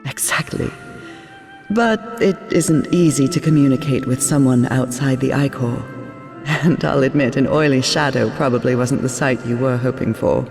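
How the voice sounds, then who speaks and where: An actress playing a loving, serene woman from beyond time in a story game, speaking intimately and with gentle, measured reassurance. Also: a bass voice. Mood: gently explaining. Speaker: character and narrator. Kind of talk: cinematic game voice acting. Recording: long reverb, music underneath.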